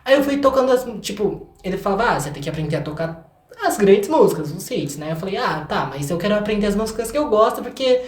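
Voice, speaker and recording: A man's voice: high voice, very animated, quick pace. Young Brazilian man in an echoey room. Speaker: guest, singer-songwriter. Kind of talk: casual interview chat.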